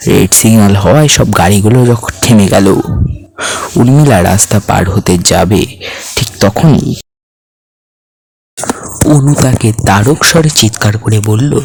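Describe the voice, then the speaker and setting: A man's voice: fairly low pitch, measured pace, creaky, long pauses, breathy edge. A man hosting and narrating a scripted ghost story in a dramatic storytelling style. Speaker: horror story narrator and host. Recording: faint music bed under voice. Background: soft background music.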